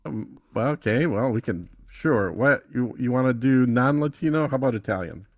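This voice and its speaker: A man's voice: medium pitch, expressive, normal speed, no echo, highs cut off. A man giving a casual, conversational tutorial as a guest, thinking aloud in an easygoing way. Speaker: guest demonstrating an AI music tool.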